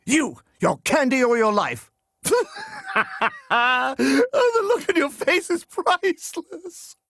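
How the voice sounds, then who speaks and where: A mischievous game girl with a high voice. She is boisterous and theatrical, stretching her words and swinging widely in pitch. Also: big pitch swings, measured pace, dry recording, exaggerated voice. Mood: mischievous glee. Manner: exclamatory, theatrical. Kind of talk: video game character lines.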